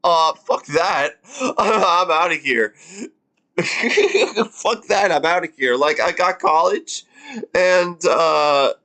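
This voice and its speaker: A man's voice: on the high side, slow, bright, forceful tone. A man in his twenties on a live stream, reacting casually and rambling with lots of fillers and the odd swear word. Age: 20s.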